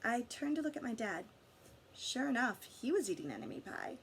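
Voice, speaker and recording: A woman reading a picture book aloud expressively to children, pausing to guide them with questions. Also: a little high, big pitch swings, a little hiss or hum. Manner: pauses to question and guide young listeners. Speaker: read-aloud storyteller for children.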